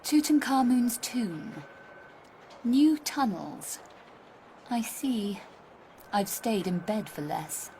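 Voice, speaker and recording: An actress playing a cool, wry young adventuress in a video game, with a dry, self-assured delivery. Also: female voice, on the high side, expressive, very slow, long pauses, dark tone, slight background noise, exaggerated voice. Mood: wry interest. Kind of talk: video game cutscene acting.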